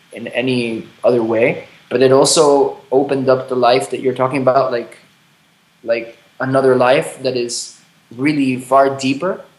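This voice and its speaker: A man in his late thirties speaks in a mid-pitched voice, halting and reflective, often circling back and restarting his sentences. He swears casually as he talks. The recording carries a noticeable room echo. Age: 30s. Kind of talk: candid conversation. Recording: roomy echo.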